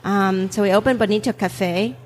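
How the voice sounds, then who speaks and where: A woman's voice: medium pitch, steady tone, normal speed, darkish tone, a little hiss or hum. A woman who owns restaurants, whose first language is Vietnamese, chatting in an interview with frequent ums and easy laughter. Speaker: restaurant owner. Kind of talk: casual interview chat.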